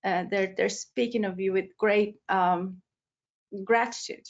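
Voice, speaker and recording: A woman's voice: medium pitch, fairly even intonation, a little slow, dull audio. A woman interviewing a colleague, admiring and hesitant with many fillers. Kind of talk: conversational interview.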